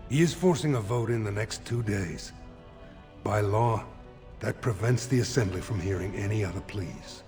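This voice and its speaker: A man voicing a dignified dwarf lord seeking a throne in a video game, speaking in a measured, formal manner. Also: lowish voice, a little mellow, soft background music, close to an everyday voice. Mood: grave, formal. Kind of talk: video game character dialogue.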